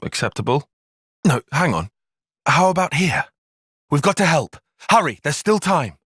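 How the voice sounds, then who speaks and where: Brainy young British soldier, earnest, cautious, articulate, expressive pitch, close dry studio sound, video game cutscene. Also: male voice, moderate pace, breathy edge, dry recording, heavily acted. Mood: urgent. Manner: precise, formal, articulate.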